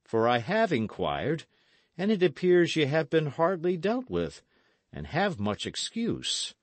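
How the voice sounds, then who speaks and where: Male reader as lordly earl, stern yet just, archaic formal diction, audiobook, slow pace, emphatic rises and falls. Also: fairly high pitch, wildly swooping pitch, dry recording, voice pushed up, exaggerated voice. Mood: measured, approving. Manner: formal, weighty, deliberate archaic speech. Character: stately, stern but fair-minded English earl.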